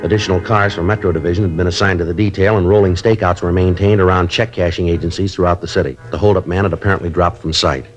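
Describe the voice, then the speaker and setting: An actor playing a clipped, matter-of-fact police sergeant who questions a suspect and narrates the case in a 1950s radio drama. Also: male voice, deep voice, fairly even intonation, fast talker, hardly any pauses, soft background music, lightly acted. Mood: narrating. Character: terse, dogged middle-aged male police sergeant.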